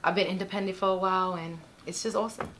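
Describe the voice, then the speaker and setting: A woman's voice: mid-range voice, fairly even intonation, racing, crowd noise, rough recording. A woman, chatting casually as a podcast guest, full of you-knows and likes. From New York, Spanish Harlem. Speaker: guest singer.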